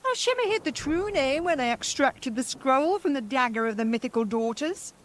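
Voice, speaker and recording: A woman's voice: high-pitched, expressive, moderate pace, a little hiss or hum, pitched above the performer's own voice, rough recording. A woman voicing an ancient blind witch hag, bossy and quarrelsome, in an archaic, theatrical, declaiming manner for a storybook. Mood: urgent.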